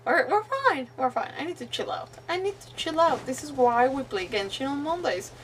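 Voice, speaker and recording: High, bubbly Mexican woman streamer. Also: lively intonation, moderate pace, distant mic, slight background noise. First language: Spanish. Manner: bubbly, rambling, giggly. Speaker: game streamer. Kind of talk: casual livestream chat while playing. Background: game music.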